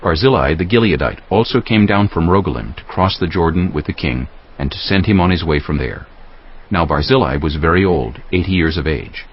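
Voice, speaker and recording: Male scripture narrator, extremely low and husky, slightly muffled recording. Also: lively intonation, brisk.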